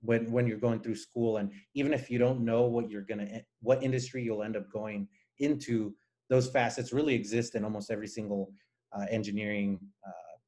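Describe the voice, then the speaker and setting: A man from Nicaragua who grew up speaking Spanish talks in a fairly low voice. He is a guest engineer in an interview, explaining things earnestly and conversationally. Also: fairly even intonation, brisk, warm tone.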